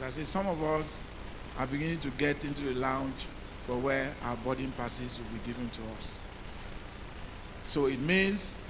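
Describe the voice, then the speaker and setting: Deep-voiced man, hissy conference mic. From Nigeria. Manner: measured, rhetorical questions to the audience. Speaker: policymaker on a conference panel. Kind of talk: panel talk.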